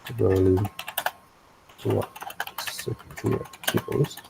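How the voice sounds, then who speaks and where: A man with an Indonesian accent teaching an animation software tutorial, thinking aloud in a halting way with frequent pauses. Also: fairly low pitch, fairly even intonation, noisy background. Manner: halting, pausing often, frequent throat clearing. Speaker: animation instructor.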